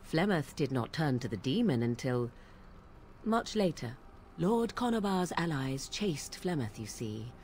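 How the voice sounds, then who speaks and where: A woman's voice: low voice, sing-song, darkish tone, a little hiss or hum. Aloof, sardonic witch, lilting delivery. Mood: measured storytelling. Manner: archaic, formal, measured storyteller's diction. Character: aloof, sardonic young witch of the wilds, raised an outcast apostate. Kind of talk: dramatic video game voice acting.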